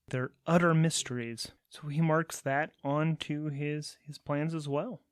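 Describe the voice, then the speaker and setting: A man's voice: a little high, darkish tone, no room sound, studio quality. A man narrating a solo tabletop role-playing game as host and player, thinking aloud between casual rules talk and storytelling.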